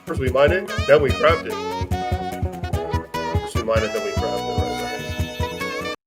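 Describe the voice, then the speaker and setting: A man's voice: mid-range voice, wildly swooping pitch, measured pace, loud music behind the voice. A man co-hosting a live stream in casual, slangy chat.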